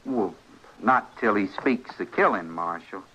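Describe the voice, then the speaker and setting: Simple-minded rural American drifter, lowish voice, folksy and deferential yet fixated, muffled vintage radio western. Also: male voice, lively intonation, bright, forceful tone, slightly rough, exaggerated voice. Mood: earnest, brooding. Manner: slow-witted, folksy, deferential. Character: simple, polite, obsessed drifter with a hanging rope. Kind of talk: old-time radio western acting. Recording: muffled old radio recording.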